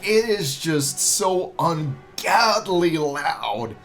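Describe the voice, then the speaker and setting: A man's voice: a little high, big pitch swings, very slow. A man hosting a ranking video with casual, unscripted review commentary, repeating himself for emphasis in a hesitant way. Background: background music.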